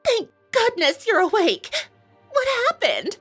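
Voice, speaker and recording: Woman as worried female pony, high voice, wildly animated, urgent, fussing, storybook horror. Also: slow pace, faint music behind, exaggerated voice. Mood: relieved, urgent. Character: anxious, caring young female pony showmare. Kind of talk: fan fiction character reading.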